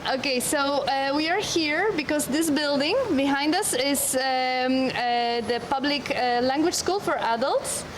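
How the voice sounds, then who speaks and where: A woman's voice: high-pitched, measured pace, few pauses. A woman leading a walking tour outdoors, speaking with many hesitations and fillers. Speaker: tour guide. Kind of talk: guided walking tour talk. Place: outdoors on a city street. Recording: street noise behind.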